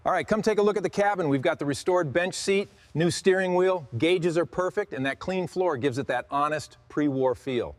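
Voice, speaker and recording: A man's voice: a little high, moderate pace, few pauses. A man hosting a restoration video, giving hands-on workshop commentary.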